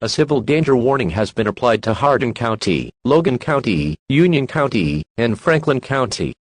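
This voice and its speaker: A man's voice: mid-range voice, big pitch swings, normal speed, husky. A man voicing an impersonal emergency alert announcer, reading scripted civil warnings in a formal, instructive manner. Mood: impersonal, official.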